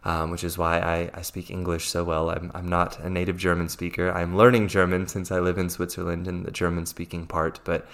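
American male podcast host, mindset coach, very low voice, sincere and reassuring. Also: completely flat delivery, brisk, hardly any pauses, fairly bright tone. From Virginia, USA. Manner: earnest, reassuring, repeats himself. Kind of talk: solo podcast monologue.

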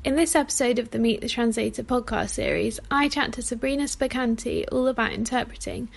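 A woman's voice: fairly high pitch, brisk, creaky. A woman hosting a podcast, reading an upbeat intro and then asking her guest questions. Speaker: podcast host. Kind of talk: scripted intro and casual interviewing.

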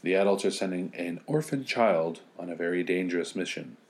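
A middle-aged man with a low voice reads a fairy tale to children. His storytelling slips now and then into joking commentary. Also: a little fast, darkish tone. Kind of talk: animated children's story reading with joking asides.

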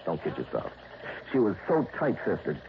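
A man's voice: deep voice, huge pitch swings, normal speed, full character voice. An actor playing an eager, talkative small-town radio newsman in an old radio drama. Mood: insinuating. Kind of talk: 1960s radio drama acting. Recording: dull old radio recording with hiss.

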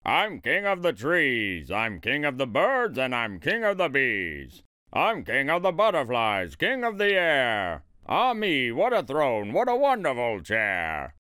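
A man voicing a boastful, power-hungry turtle king from a children's storybook, with pompous, commanding, drawn-out proclamations. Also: mid-range voice, huge pitch swings, sharp tone, smooth, no echo, heavily acted. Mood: exultant.

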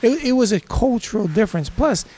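A man's voice: very high voice, animated, brisk, warm tone. A man in his fifties from Brazil, native speaker of Portuguese, chatting enthusiastically as an interview guest with surfer slang. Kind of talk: casual interview chat.

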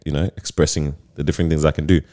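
Casting: young male podcast host, very low voice, relaxed and slangy. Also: rapid-fire. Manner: laid-back slangy talk, says bruv and fam. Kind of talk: casual chat.

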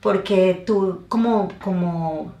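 A woman's voice: lowish voice, slow pace, mellow, reverberant. A woman hosting a casual interview, asking questions and chiming in with short affirmations. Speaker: interviewer host.

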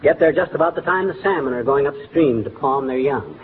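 An actor playing a blustery, glib middle-aged husband in a 1940s radio comedy, folksy and self-important. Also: male voice, medium pitch, lively intonation, a little fast, close to an everyday voice. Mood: sentimental, folksy. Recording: tinny, noisy 1940s radio broadcast.

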